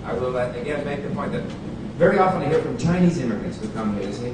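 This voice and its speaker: A man giving a relaxed, chatty public talk at a bookstore event, switching between languages and asking the audience questions. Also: mid-range voice, fast. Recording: echoey room recorded from far off, background noise.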